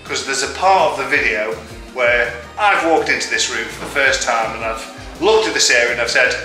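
A man's voice: medium pitch, moderate pace, sharp tone, recorded from a distance. A man, chatting cheerily to a handheld camera in a tiled bathroom as a renovation vlogger. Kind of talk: casual chat to camera. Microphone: handheld camera at arm's length. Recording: echoey tiled room, faint music.

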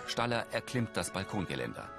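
Tiefe männliche Erzählstimme über lauter Musik. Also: male voice, low voice, sing-song, brisk, vocal fry, loud music behind the voice. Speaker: audio description narrator. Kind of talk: scripted present-tense scene narration.

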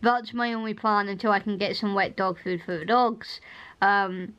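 Hoarse young Scottish woman vlogging. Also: mid-range voice, steady tone, moderate pace, a bit bright, muffled recording. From Scotland. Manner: rambling, laughs often. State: hoarse, strained throat. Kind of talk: casual chatty vlog. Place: living room at home. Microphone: camera at arm's length.

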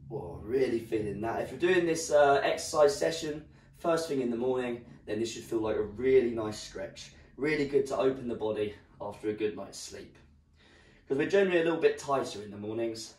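A young man with a croaky voice, leading an online home workout as a fitness instructor and giving encouraging instructions while he moves. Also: medium pitch, darkish tone, a little hiss or hum. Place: living room at home. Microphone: far camera. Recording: roomy sound from far off.

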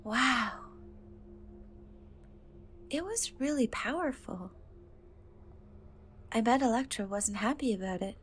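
A woman plays a young cat girl rescuer in a fantasy ASMR roleplay. Her voice is fairly high and soft, and she speaks very slowly, with gentle, hesitant reassurance. Noticeable ambient noise sits behind her. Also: lively intonation. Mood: curious, impressed.

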